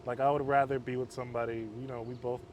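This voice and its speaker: Male counselor guest, measured and flat-toned, casual talk recorded outside near traffic. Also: mid-range voice. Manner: calm, measured, self-described monotone. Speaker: interview guest, halfway home counselor. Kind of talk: casual conversation. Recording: outdoor street noise.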